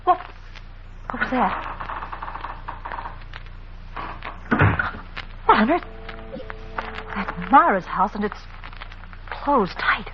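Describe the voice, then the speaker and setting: High, animated young woman, old radio. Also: slow, loud music behind the voice. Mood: alarmed, wary. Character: guarded, proud young woman, jilted and wary. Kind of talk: old-time radio drama acting. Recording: muffled, noisy old radio recording.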